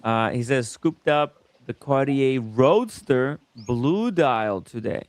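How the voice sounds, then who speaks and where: Smooth-voiced man hosting a livestream. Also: medium pitch, expressive, slow pace. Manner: enthusiastic, warm thanks to viewers and guests. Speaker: host. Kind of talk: casual livestream chat.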